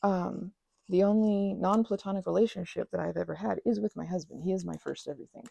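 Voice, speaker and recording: Soft, gravelly-toned woman rambling. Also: lowish voice, fairly even intonation, a little fast, dry recording. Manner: chatty, enthusiastic, trails off into restarts and asides. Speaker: ASMR channel host. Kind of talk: soft-spoken rambling chat while crafting.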